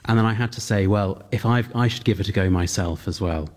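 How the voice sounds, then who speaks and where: Low-voiced Welsh-born priest explaining earnestly. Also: male voice, brisk. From Wales. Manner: earnest, gently humorous, explanatory. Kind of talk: interview answers.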